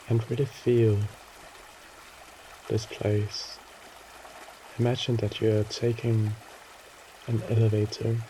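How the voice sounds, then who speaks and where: A man narrating a guided meditation in a soothing, gently instructing way, with short phrases and pauses between them. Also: lowish voice, slight background noise.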